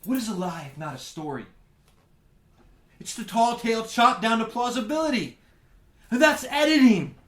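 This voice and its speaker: A man's voice: expressive, normal speed, pauses often, far from the mic. A man playing a lovesick, emotionally unstable young student writer with a melodramatic delivery in a satirical radio play. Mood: brooding, theatrical. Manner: melodramatic, swinging from hushed to shrill. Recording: echoey room, off the mic.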